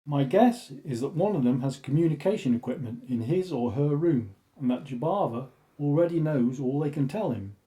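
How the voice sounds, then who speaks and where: Male actor: blunt, opinionated settler for radio play. Very dark, mellow tone, pitched on the high side. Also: animated, moderate pace, few pauses, lightly acted, rough recording. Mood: matter-of-fact. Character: opinionated, wry male settler living apart with abductees. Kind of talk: audio drama acting.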